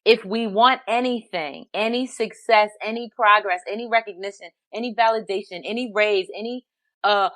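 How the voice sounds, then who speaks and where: A woman's voice: medium pitch, moderate pace, fairly bright tone. A woman hosting a podcast, talking in a hesitant way with many fillers and restarts. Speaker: podcast host. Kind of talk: casual conversation.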